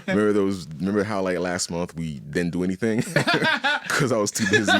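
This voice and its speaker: Deep-voiced man from Haiti, candid storyteller. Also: unhurried, no echo. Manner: animated, repeats "you know what I mean". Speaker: podcast guest, artist. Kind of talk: casual, candid interview storytelling.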